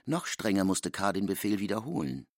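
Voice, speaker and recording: An actor as a storyteller in a literary radio drama, husky and gravelly, reading expressively in a formal manner. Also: male voice, deep voice, big pitch swings, a little fast, no room sound, heavily acted. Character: expressive male storyteller of a classic novel. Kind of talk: scripted literary narration with character speech. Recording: dry studio with faint music.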